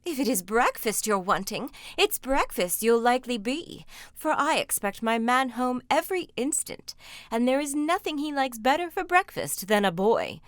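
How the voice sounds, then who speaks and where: A woman doing a brisk bossy ogre's wife. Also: fairly high pitch, very animated, dry recording, voice pushed up.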